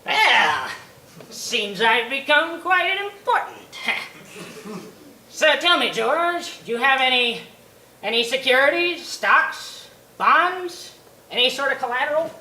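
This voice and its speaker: A woman voicing a cold, gloating rich old man who mocks slowly and drags out his words. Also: sits high, big pitch swings, bright, forceful tone, reverberant, slight background noise, heavily acted, rough recording. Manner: slow, mocking, drawn-out delivery.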